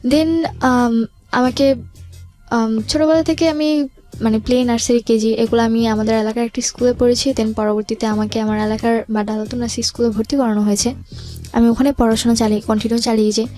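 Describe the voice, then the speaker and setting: A young woman with a fairly high voice and a Bangladeshi accent shares a personal story in an interview. She speaks hesitantly and slips in English words. Music plays behind her. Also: flat delivery, brisk, dark tone. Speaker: guest telling life story.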